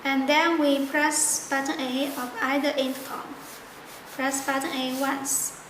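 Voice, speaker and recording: Slow high-pitched woman giving instructions. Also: level tone. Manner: halting, simple non-native phrasing. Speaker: product demonstrator. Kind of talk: step-by-step tutorial narration. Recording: echoey room with loud background noise.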